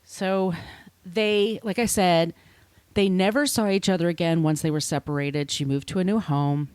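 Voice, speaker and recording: A woman's voice: fairly low pitch, fairly even intonation, moderate pace, a little mellow, clear, resonant tone, dry recording. A woman podcast host telling a story in casual chat, informal and sweary, with conversational asides.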